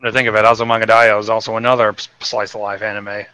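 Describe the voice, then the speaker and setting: A man's voice: fairly low pitch, little pitch movement, fast, bright, forceful tone, smooth, no echo, poor audio. A man appearing as a podcast guest, explaining his opinions casually and swearing freely.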